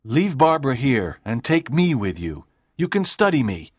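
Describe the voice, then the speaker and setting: A woman voicing a brave, calm, curious schoolboy in dialogue from a story. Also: rumbling low, normal speed, slightly rough, no echo, studio quality, voice pushed down, full character voice. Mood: determined. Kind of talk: audiobook character dialogue.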